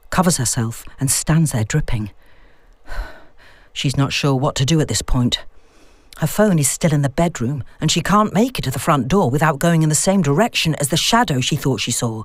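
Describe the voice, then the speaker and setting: A woman narrates a scripted story in a measured, expressive way. Her pitch moves freely and keeps the reading animated. The recording is very dry and close, with no sense of a room. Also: dry recording. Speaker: audiobook narrator.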